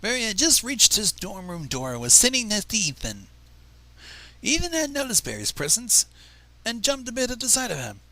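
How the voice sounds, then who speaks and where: A man's voice: high-pitched, wildly swooping pitch, normal speed, sharp tone, low-quality recording. A man hosting a reading of fanfiction, breaking off for sarcastic, profane asides. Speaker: fanfiction reader and livestream host. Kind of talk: dramatic reading with mocking asides.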